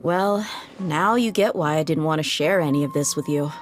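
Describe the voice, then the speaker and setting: Actress voicing a laid-back tough mercenary woman, low voice, frank, soft music behind. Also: moderate pace, natural voice. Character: tough, easygoing female mercenary leader. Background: faint game music.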